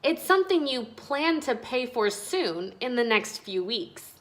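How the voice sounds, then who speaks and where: A kindly storybook uncle, read by a woman, explains things patiently and encouragingly. The voice is fairly high and very unhurried, with a teacherly manner. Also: big pitch swings, fairly bright tone. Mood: patient, instructive. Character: kindly instructive uncle explaining money to children.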